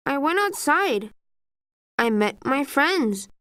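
A woman's voice: sits high, sing-song, slow pace, fairly bright tone, no echo, studio quality, full character voice. A woman voicing an earnest, caring young boy frog in a children's language-lesson cartoon. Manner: earnest, simple short sentences.

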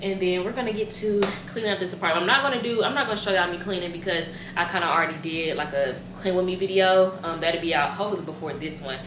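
A young woman with a Southern American accent vlogging casually from her apartment kitchen, chatty and excitable. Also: lowish voice, fast, few pauses. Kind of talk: casual vlog chat. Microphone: camera at a distance. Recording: echoey room, clear background noise.

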